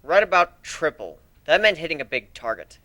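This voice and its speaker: A man giving an expressive, theatrical first-person narration as an amateur dramatic story reader. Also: on the high side, normal speed, dry recording.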